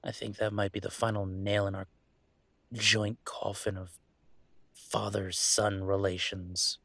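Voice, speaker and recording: Gravelly, wry young werewolf played by a man. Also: on the low side, level tone, sharp tone, no room sound, heavily acted. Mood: hurt, indignant. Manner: halting, sardonic, rambling confiding. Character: troubled young male werewolf, wry, self-deprecating, hurting. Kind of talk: intimate audio roleplay monologue.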